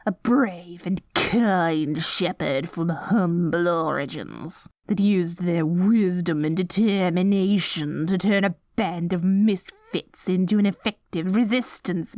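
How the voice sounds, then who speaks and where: A woman's voice: fairly low pitch, slow pace, a little mellow, no room sound, clean studio sound. A woman playing a short, dorky evil queen in a scripted audio roleplay, melodramatic and gleefully scheming, given to petty indignant outbursts.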